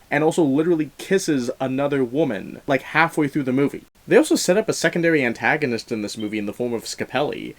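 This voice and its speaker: A man giving a conversational film review as host, animated and emphatic, with informal, slangy asides. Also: medium pitch.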